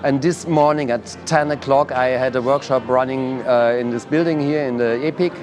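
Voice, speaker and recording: A man who ran a dance workshop at the festival, explaining it in a calm interview. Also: mid-range voice, moderate pace, over music. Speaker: workshop teacher.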